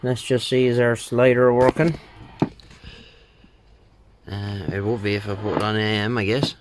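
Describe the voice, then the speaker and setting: Slow, low-pitched Irish repairman. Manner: unhurried, thinking aloud, colloquial. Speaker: radio repair technician. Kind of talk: casual spoken bench walkthrough. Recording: steady background noise, bench clicks.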